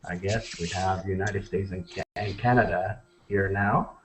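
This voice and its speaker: A man, the show's founder, opening a casual online show with halting, filler-laden speech. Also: low voice, fairly even intonation, roomy sound, noisy background. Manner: halting, filler-heavy intro.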